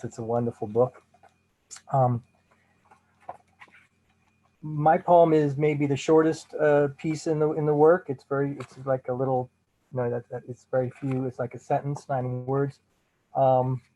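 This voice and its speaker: A man's voice: mid-range voice, dark tone. A man, a guest poet, speaking hesitantly with many fillers before reading over a video call. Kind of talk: casual remarks and poetry reading. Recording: dull lo-fi video call audio.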